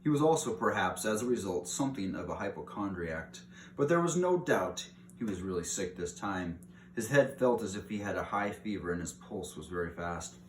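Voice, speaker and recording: A man's voice: on the low side, lively intonation, moderate pace, distant mic. A man reading a children's book aloud as narrator. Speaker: story reader. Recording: roomy echo, clear background hiss.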